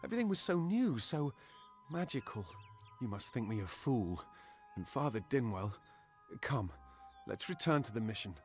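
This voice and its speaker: Actor as an eager, naive young dreamer, very animated. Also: male voice, medium pitch, normal speed, soft background music, lightly acted. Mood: ashamed, pleading. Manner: impulsive, earnest, self-important, wavering. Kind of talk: video game character acting.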